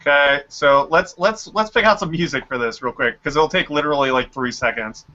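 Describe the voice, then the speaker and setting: A young man hosting a casual group voice chat, talking quickly and a little scattered while he works on his computer. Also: fairly high pitch, sharp tone. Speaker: stream host. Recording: lo-fi mic with faint noise.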